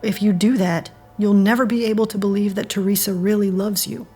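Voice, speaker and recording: A woman's voice: mid-range voice, steady tone, fairly quick, warm tone, slightly rough, faint music behind. A woman playing an earnest, principled friend in an audio drama, reasoning and pleading firmly. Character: earnest woman, a firm moral voice to her friend.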